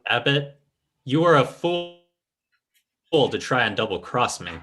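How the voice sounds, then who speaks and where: An actor playing a sly, imperious fake psychic and crime boss in an old-time radio drama, speaking in a pompous, formal, commanding way. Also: male voice, medium pitch, very animated, measured pace, over music. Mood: stern, domineering.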